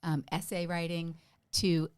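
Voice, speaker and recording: Middle-aged woman guest, low-ish voice, chatty and self-deprecating, dry close sound. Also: flat delivery, measured pace, clean tone, no echo. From United States. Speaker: podcast guest. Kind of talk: casual interview chat.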